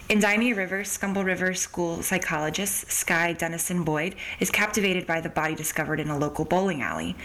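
Low-pitched woman hosting a podcast. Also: a bit bright, rough recording. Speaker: podcast host and interviewer. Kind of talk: podcast interview.

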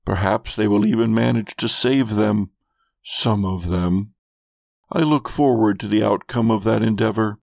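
A man's voice: fairly low pitch, measured pace, gravelly, darkish tone, no echo. A man voicing a scheming, contemptuous immortal villain in a grandiose, brooding monologue for a fiction podcast. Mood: menacing, amused.

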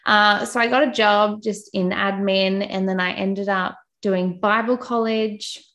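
A woman's voice: medium pitch, steady tone, measured pace, fairly bright tone. A young adult woman with an Australian accent, a podcast guest chatting casually and self-deprecatingly with plenty of fillers. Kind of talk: casual interview chat.

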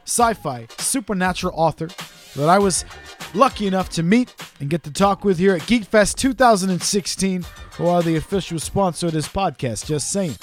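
A fairly high-voiced man hosts his podcast in a studio with a chatty, playful delivery. Faint music plays beneath his talk. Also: lively intonation, moderate pace, hardly any pauses. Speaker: podcast host. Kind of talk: casual podcast interview.

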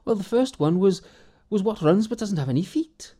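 A man's voice: sits high, lively intonation, moderate pace, warm tone, pitched above the performer's own voice. A man voicing a simple, kind young village man who stammers eagerly. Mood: worried.

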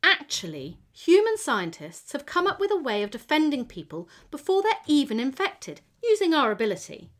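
A woman's voice: high-pitched, huge pitch swings, normal speed, hardly any pauses. A woman playing a friendly, proud T cell gardener who explains things patiently in a children's educational audio story. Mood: reassuring, proud. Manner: explanatory, teacherly, encouraging.

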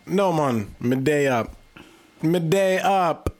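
A man's voice: on the high side, animated, takes it slowly, a little mellow. A man putting on a goofy mock Jamaican voice during drunken podcast banter, drawling and repeating "man". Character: man in a mock Jamaican accent, laid-back.

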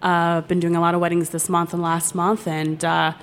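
A woman's voice: fairly low pitch, level tone, normal speed. A woman guest, a wedding planner, talking in a friendly, rambling way in an interview. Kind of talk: casual interview talk. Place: hotel studio.

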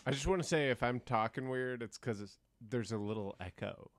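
A man producing a podcast and sitting in as a guest. He speaks slowly and a little hesitantly, and the studio sound is close and dry. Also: medium pitch, dry recording. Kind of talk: casual chat. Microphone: headphones with mic.

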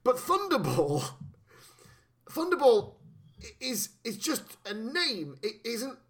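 A man's voice: extremely high pitch, slow. A man co-hosting a podcast, chatting casually and jokily about a film, swearing freely. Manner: jokey, animated, swears freely. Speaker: podcast co-host. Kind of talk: casual film-review chat.

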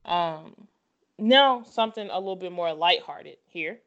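A woman's voice: fairly low pitch, animated, measured pace, a bit bright, lo-fi sound. A woman co-host in casual sports chat, opinionated and repeating herself for emphasis.

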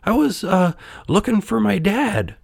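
A man's voice: high voice, lively intonation, a little slow, vocal fry, darkish tone, husky, no room sound, clean studio sound, voice pushed up, full character voice. A man voicing a brave, impulsive young puppet boy in a children's storybook, with hesitant, eager delivery. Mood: nervous, apologetic.

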